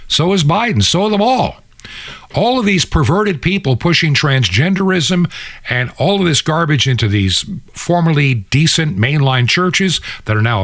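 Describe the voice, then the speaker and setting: A man's voice: fairly high pitch, fairly bright tone, dry recording. A man hosting a radio show, delivering an opinionated political monologue in an emphatic, conversational way with rhetorical asides. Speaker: radio and podcast host.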